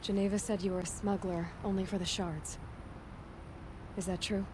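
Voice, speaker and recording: A woman's voice: mid-range voice, level tone, moderate pace, background noise, natural voice. An actress playing an earnest, resolute young female hunter in a video game, speaking in a direct, serious manner. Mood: probing, firm. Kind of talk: dramatic video game acting.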